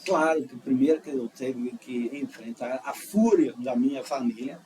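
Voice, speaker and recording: A middle-aged man with a Dutch accent, a guest telling his life story in a casual, halting way, full of restarts and fillers. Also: medium pitch, a crowd in the background. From Netherlands. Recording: slightly distant mic with faint noise.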